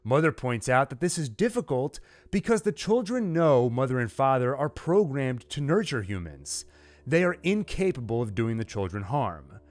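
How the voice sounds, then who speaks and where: Man narrating with lively, swinging pitch. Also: normal speed, dry recording. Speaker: channel host, narrator. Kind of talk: dramatized recap narration and commentary.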